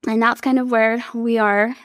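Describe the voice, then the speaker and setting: A woman's voice: fairly high pitch, flat delivery, fairly bright tone, no echo. Candid woman with a dry, close sound. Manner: candid, filler-heavy, halting. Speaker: guest. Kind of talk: casual personal story.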